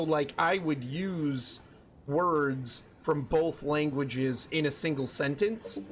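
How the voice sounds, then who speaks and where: A young man with a slightly raised voice talks loosely to his stream chat, stopping and restarting his sentences as he thinks out loud. Faint ambient noise sits under his voice. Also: on the high side. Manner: chatty, thinks aloud with many restarts and fillers.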